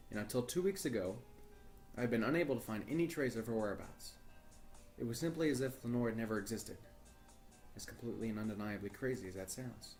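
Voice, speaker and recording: A man playing an earnest, troubled journalist, narrating a horror audio drama in a deliberate, formal storytelling way. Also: on the low side, darkish tone, lightly acted. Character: earnest, troubled male journalist recording his search for missing partner. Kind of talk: first-person horror audio drama monologue.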